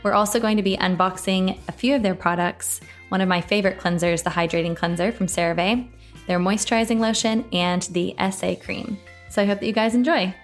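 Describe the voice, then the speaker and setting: A woman's voice: medium pitch, fairly even intonation, a little fast, faint music behind. An American woman hosting an interview show, friendly and upbeat as she introduces and questions her guest. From United States. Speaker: podcast host. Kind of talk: interview host chat.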